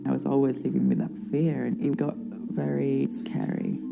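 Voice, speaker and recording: A man giving soft, hesitant documentary testimony and often correcting himself. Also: medium pitch, little pitch movement, normal speed, creaky. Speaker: asylum seeker interviewee. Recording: faint music bed underneath.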